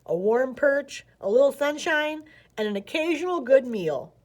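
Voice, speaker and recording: A woman's voice: high-pitched, big pitch swings, normal speed, darkish tone, voice pushed up. A woman voicing a gabby old green python who boasts and reminisces in a children's storybook. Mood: nostalgic. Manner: gabby, nostalgic.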